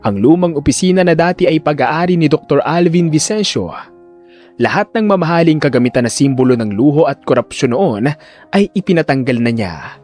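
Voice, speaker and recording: Man narrating dramatically, lively intonation. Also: fairly high pitch, a little fast. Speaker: story narrator. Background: background music.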